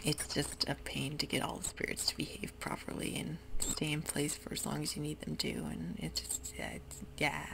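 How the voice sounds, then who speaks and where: A woman giving soft-spoken, whispery commentary while she plays a computer game, with frequent ums. Keyboard typing can be heard close to the mic. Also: deep voice, level tone, moderate pace, creaky, few pauses. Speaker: ASMR gaming creator. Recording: noisy home recording.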